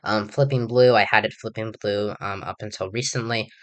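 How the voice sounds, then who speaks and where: A young man with a somewhat low, hoarse voice talks through political predictions off the cuff. He rambles, leans on fillers and keeps restarting his sentences. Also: steady tone, normal speed, fairly bright tone. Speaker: political commentator. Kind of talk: casual election prediction monologue.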